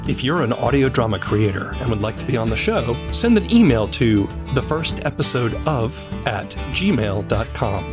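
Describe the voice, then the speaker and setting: A man narrating a scripted podcast segment as its host. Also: mid-range voice.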